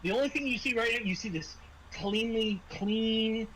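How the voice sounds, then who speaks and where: A man running a tabletop horror game as game master, improvising scene descriptions with halting, dramatic pauses. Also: high-pitched, fairly bright tone, a little hiss or hum, rough recording.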